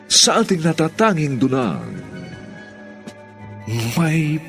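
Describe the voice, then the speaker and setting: A man announcing a radio drama in formal, theatrical scripted narration with drawn-out delivery. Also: a little high, wildly swooping pitch, a bit bright, faint music behind.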